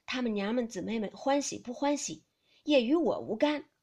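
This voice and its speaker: A woman's voice: on the high side, animated, moderate pace, a little mellow, breathy edge. A woman voicing a moody, aloof, brooding teenage young master in an audiobook of a classical novel. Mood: bitter, aloof.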